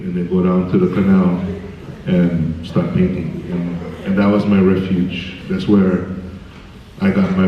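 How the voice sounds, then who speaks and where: Man, very deep voice, joking and easygoing, far from the mic in an echoey hall, dull sound. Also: slight background noise. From American Samoa, raised in Hawaii. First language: Samoan. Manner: joking, laid-back storytelling. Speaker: panel guest artist. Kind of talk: casual Q&A answers. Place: large hall at a film screening.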